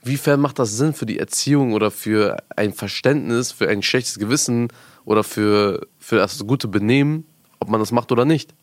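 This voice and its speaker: A man's voice: on the low side, normal speed, no room sound. A young man from Afghanistan in a casual discussion, talking informally in youth slang and often checking in with the listener. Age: young adult.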